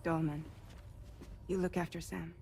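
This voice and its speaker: An actress playing a composed, grave woman commander in a video game, speaking with measured authority. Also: on the low side, little pitch movement, gravelly, background noise. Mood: commanding. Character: composed woman commander briefing her crew, grave and steady. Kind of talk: video game cutscene acting.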